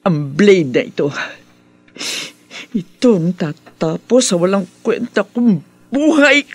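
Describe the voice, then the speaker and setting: An actress playing a despairing, self-loathing mother in a Filipino radio melodrama, anguished and drawn-out. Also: mid-range voice, sing-song, soft background music. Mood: anguished, tearful.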